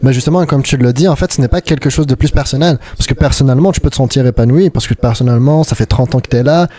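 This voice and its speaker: A man's voice: medium pitch, fast talker, mellow, no room sound. A young man hosting a podcast, talking in a thoughtful, casual way and often restarting his sentences. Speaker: podcast host. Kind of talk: casual chat.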